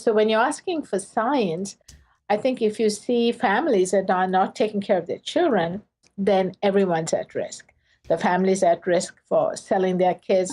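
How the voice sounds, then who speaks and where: A woman's voice: medium pitch, dark tone. A woman from Sri Lanka, a professor, answering interview questions earnestly in short phrases with fillers.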